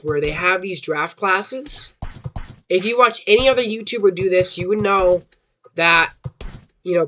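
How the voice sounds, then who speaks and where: Hoarse young man rambling. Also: sits high, a bit bright, slight background noise. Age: young adult. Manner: rambling, self-doubting, restarts a lot. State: hoarse from a sore throat. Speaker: gaming YouTuber. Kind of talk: casual rambling commentary.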